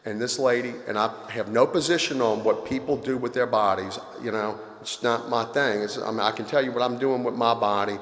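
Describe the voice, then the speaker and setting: A man's voice: mid-range voice, a little fast, few pauses, fairly bright tone. A man of fifty giving an earnest, confessional recovery talk at a convention. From Louisiana. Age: 50s. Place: large convention hall. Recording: echoey hall audio.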